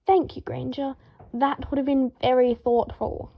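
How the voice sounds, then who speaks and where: A woman's voice: sits high, little pitch movement, unhurried, lo-fi sound. A woman reading aloud, voicing a fussy, haughty young wizard man who shrieks in indignant fury. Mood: stiff.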